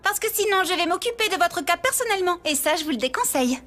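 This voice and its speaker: A woman's voice: very high-pitched, animated, fast talker, very noisy. A woman voicing a tiny teenage martial artist girl who talks with an old-fashioned, commanding manner, in a French anime dub. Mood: warning. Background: anime music score.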